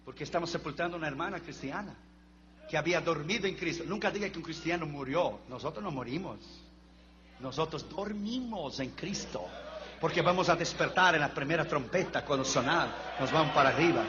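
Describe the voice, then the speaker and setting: Fervent high-voiced preacher man. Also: animated, fairly quick. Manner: fervent, repetitive preaching that swings between shouting and near-whispers. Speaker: pastor. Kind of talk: sermon. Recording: dull lo-fi recording with faint hum.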